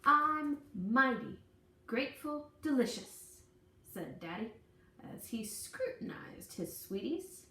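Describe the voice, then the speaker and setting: A woman's voice: a little high, lively intonation, slow pace, bright, forceful tone. Woman, dramatic storybook read-aloud, folksy delivery, distant mic, roomy echo with faint noise. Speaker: storybook reader. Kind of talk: expressive read-aloud of a children's picture book.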